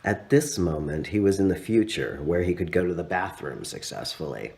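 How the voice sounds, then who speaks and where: A man with a low voice narrates a literary story he wrote. His pitch swings widely as he goes, and his phrasing is expressive and dramatic, with certain words stretched out. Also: moderate pace, gravelly. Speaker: author reading own story. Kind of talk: literary narration, read aloud.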